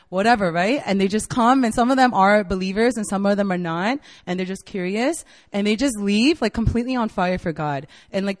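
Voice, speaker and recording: A woman in her late twenties gives a relaxed youth sermon to a college group, her voice sitting at an ordinary middle pitch for a woman. She talks in a chatty, eager way, filling her sentences with "like" and checking in with "right?" as she goes. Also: in a large hall. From United States (Virginia). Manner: chatty and enthusiastic, filler-heavy with frequent "like" and "right?". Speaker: campus ministry staff speaker. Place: university meeting room.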